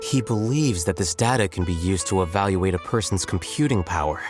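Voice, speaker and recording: A composed scholar investigator in a game, with a low voice. He speaks in precise, detached, measured phrases, with soft music behind him. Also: expressive. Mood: analytical. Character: calm, coolly logical male scholar investigator.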